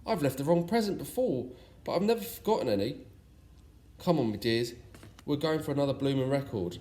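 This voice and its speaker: A man's voice: medium pitch, expressive, fairly quick, mellow, pitched above the performer's own voice, natural voice. A man voicing a grumpy but kindly old Father Christmas for a storybook, with hearty exclamations. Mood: hearty, weary. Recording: clearly noisy recording.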